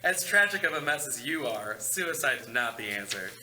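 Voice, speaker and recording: A man's voice: mid-range voice, big pitch swings, normal speed, close to an everyday voice. A man playing a sassy, snide male ghost with a campy, sarcastic manner at a comedy table read. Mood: sassy, withering. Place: large carpeted meeting room. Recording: echoey meeting room, noticeable hiss.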